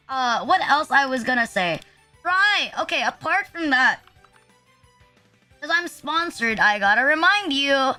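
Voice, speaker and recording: A high, bright-toned young woman chats loosely on a livestream, her nose a little stuffed and sniffly. She rambles and jokes at her own expense, dropping swears along the way. Soft music plays faintly behind her. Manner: rambling, casually profane, self-deprecating. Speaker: VTuber streamer. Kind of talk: casual livestream chat.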